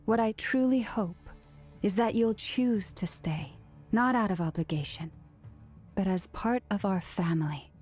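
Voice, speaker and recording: An actress playing a gentle, earnest young woman who leads a moon faith, with a measured, solemn delivery in a video game. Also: medium pitch, husky, faint music behind. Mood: earnest, tender.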